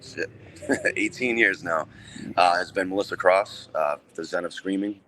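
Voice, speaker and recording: Deep-voiced man, relaxed and plain-spoken. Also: measured pace, a little hiss or hum. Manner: relaxed, plain-spoken, lots of ums and restarts. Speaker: metal band vocalist, guest. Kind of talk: casual interview answers.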